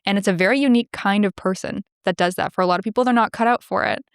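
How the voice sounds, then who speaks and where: A woman's voice: mid-range voice, racing, gravelly, smooth, no room sound, clean studio sound. A woman from Canada with a Canadian accent, hosting a podcast in a chatty, upbeat way. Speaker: podcast host. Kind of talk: interviewing and reading a sponsor spot.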